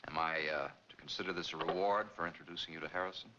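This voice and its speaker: A man's voice: sits low, fairly even intonation, very fast, lightly acted. An actor playing a cynical, sly man in his thirties in a 1940s film noir, sardonic and insinuating. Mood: sardonic, warning. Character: cynical, sly young man-about-town, old boyfriend. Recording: dull, noisy 1940s film soundtrack.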